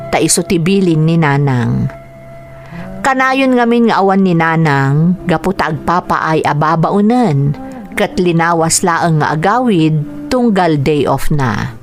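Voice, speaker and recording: A woman playing a patient, caring elder sister in an Ilocano radio drama, speaking gently and earnestly. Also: lowish voice. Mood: sorrowful, reflective. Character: patient, caring elder sister minding her younger brother. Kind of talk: Ilocano radio drama acting with narrated inner thoughts.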